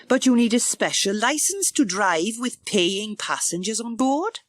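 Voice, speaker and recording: A woman's voice: a little high, animated, a little slow, no room sound, studio quality. A woman playing a proper, precise village doctor in a children's audiobook, with careful emphasis. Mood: doubtful, cautioning. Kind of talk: acted children's audiobook dialogue.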